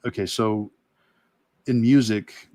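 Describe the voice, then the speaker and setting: A man giving a casual, reflective demo talk as an art instructor while drawing, with halting, rambling explanations. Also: lowish voice, steady tone, darkish tone, dry recording. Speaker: illustrator and course instructor demonstrating.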